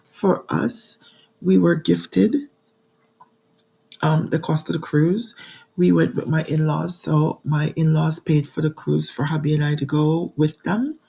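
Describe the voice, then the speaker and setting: A woman in her forties, hoarse from a cold, chatting to viewers about travel costs in a casual vlog with frequent ums. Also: low voice, fairly even intonation, a little slow, warm tone. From New York. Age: 40s. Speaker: budgeting channel host. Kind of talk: casual talking-to-camera vlog.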